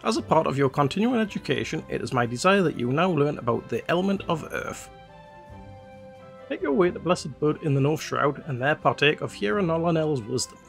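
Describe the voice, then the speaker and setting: A man's voice: a little high, expressive, gravelly, a bit bright, faint music behind. A man with a slightly congested nose voicing a learned male guild master who formally instructs his student. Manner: measured, formal reading of dialogue.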